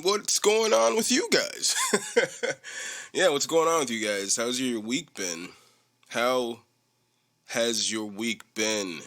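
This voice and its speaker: Bright-voiced man rambling on a podcast. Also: lowish voice. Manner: rambling, profane, animated storytelling. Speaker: podcast host. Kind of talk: casual solo podcast chat.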